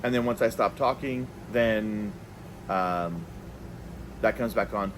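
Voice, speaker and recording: A man's voice: fairly low pitch, slow pace, slight background noise, rough recording. A man hosting a casual, unscripted product review, talking conversationally and thinking aloud as he goes. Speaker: product reviewer, video host.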